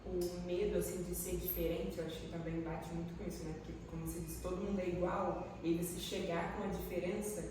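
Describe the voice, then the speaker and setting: A woman's voice: lowish voice, level tone, fast, hardly any pauses. A woman asking questions in a casual conversation. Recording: echoey room, distant mic, noise.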